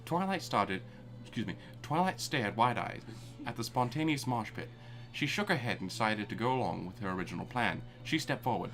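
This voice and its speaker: Lively man reading fan fiction irreverently. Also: mid-range voice, fairly quick. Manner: irreverent, breaks into swearing and amused asides. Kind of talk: live comedic story reading.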